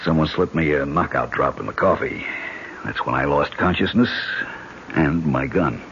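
A man's voice: a bass voice, normal speed, a bit bright. An actor with an American accent playing a hard-bitten, cool-headed male government agent held hostage in a 1970s radio drama. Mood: guarded, matter-of-fact. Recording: muffled, noisy old radio broadcast recording.

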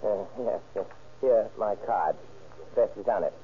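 Husky, halting businessman, old radio. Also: on the low side. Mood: courteous, sly. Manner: halting, clipped non-native phrasing with polite hesitations. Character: polite, guarded Chinese businessman running shady letters. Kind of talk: old-time radio crime drama acting. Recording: muffled, lo-fi old radio recording.

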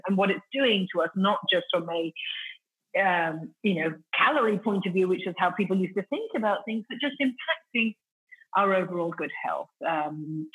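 A woman's voice: on the low side, fairly bright tone, slightly rough, rough recording. A middle-aged woman from Italy, raised in the UK, explaining things articulately as an interview guest. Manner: articulate, explanatory, with frequent "you know" and restarts. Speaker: interview guest, company founder. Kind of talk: interview answers.

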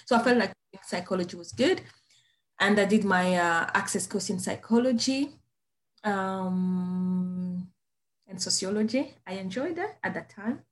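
Hesitant woman from Rwanda, lowish voice. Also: vocal fry. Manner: hesitant, many fillers and restarts. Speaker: podcast guest, business founder. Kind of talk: casual interview storytelling.

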